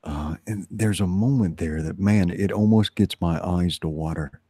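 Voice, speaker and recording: A man's voice: low-pitched, moderate pace, warm tone, slightly rough, no echo, clean studio sound. A man in casual livestream conversation as a co-host, talking informally and repeating his phrases.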